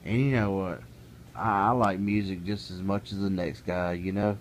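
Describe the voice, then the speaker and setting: A man's voice: deep voice, fairly even intonation, moderate pace, a little hiss or hum. A middle-aged man talking casually to camera in a plainspoken, folksy way, building into an exasperated rant. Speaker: vlogger. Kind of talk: casual ranting monologue.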